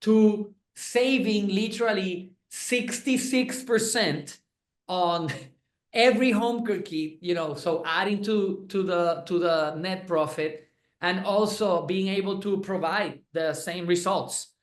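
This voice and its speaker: High-voiced Puerto Rican man, roomy sound. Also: slow. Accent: Puerto Rican Spanish. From Puerto Rico. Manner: effusive, repeats words and restarts. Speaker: chiropractor and clinic owner. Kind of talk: enthusiastic testimonial chat.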